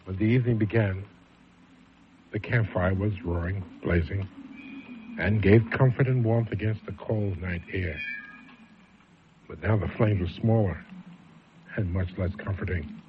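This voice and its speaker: An actor narrating an eerie campfire tale as a brooding, solemn host with measured delivery. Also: male voice, fairly low pitch, background noise. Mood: solemn, suspenseful. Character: brooding male storyteller setting an eerie campfire scene. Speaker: host narrator of a radio drama. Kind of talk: slow scripted Halloween story narration. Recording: dull radio recording, music behind.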